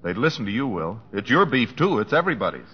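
An actor playing an earnest, indignant aviator in an old-time radio drama. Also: male voice, on the low side, sing-song, fast, breathy edge. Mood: indignant, urgent. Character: earnest, indignant aviator pleading his cause. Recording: muffled old radio recording.

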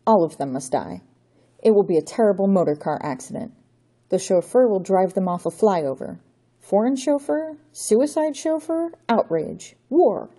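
A woman's voice: medium pitch, sing-song, normal speed, warm tone, slightly rough. Woman doing a grandiose villainous count. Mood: menacing. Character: scheming aristocratic male villain count, grandiose.

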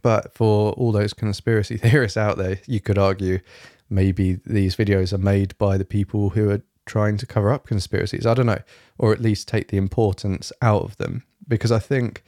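A young man hosting his solo podcast in a rambling, fairly monotone way, with lots of fillers and a slightly rough throat. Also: fairly low pitch, moderate pace, no room sound, studio quality. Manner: rambling, many fillers and restarts. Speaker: podcast host. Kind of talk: casual solo podcast chat. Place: home, evening, quiet room.

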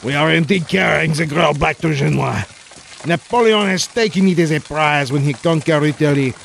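A man's voice: fairly high pitch, gravelly, a bit bright, slight background noise. A man playing a haughty, insult-slinging nineteenth-century Frenchman with a put-on French accent in a comedic 1940s-style radio play. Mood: sneering. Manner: scornful, drawn-out sneering insults.

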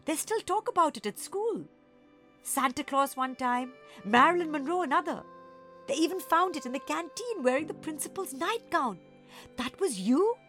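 A woman voicing a dry, sharp-tongued but excitable schoolgirl in a story, given to hissing and gasping. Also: high voice, normal speed, soft background music, pitched above the performer's own voice. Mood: excited, amazed.

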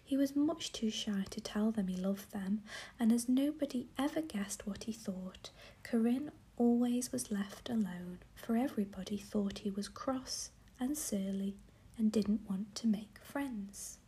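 A woman reading a fairy tale aloud in a soft, unvoiced whisper, like a bedtime story. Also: a little high, measured pace.

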